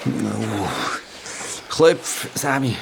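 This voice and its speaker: A weary, kindly Swiss German elder. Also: male voice, on the low side, extremely slow, creaky, noisy background, full character voice. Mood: resigned. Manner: resigned, solemn and kindly. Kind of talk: Swiss German radio play acting.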